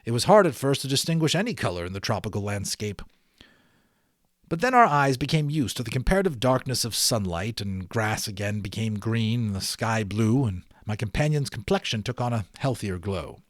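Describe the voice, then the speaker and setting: Animated man reading a story aloud. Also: on the low side, moderate pace, no room sound, studio quality. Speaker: amateur audiobook reader. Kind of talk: expressive read-aloud storytelling of a children's novel.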